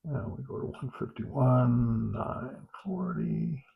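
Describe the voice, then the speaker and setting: A dull, lo-fi recording of a man with a slightly low-pitched voice. He hosts a hands-on product test, talking off the cuff, with hesitant pauses and well-worn phrases as he goes. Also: creaky. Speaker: host of a radio gear review channel. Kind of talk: unscripted product test commentary. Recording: dull, muffled lo-fi sound.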